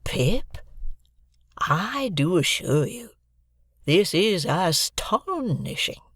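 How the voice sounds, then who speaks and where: A simple, good-hearted blacksmith fumbles for grand words in halting country speech, as an audiobook reader puts him on. The voice sits well above the reader's own and moves slowly. It is recorded dry and close. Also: medium pitch, big pitch swings, no room sound, pitched above the performer's own voice, full character voice. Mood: stunned. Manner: rustic, halting, earnest speech. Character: simple, kindly village blacksmith, grown man. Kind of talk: audiobook character voice.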